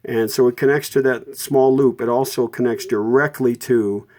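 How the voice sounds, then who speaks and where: Mellow-voiced man giving a halting hands-on tutorial. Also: mid-range voice, fairly even intonation. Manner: halting, self-correcting, informal. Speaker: host of a vintage radio repair tutorial. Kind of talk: unscripted hands-on technical explanation.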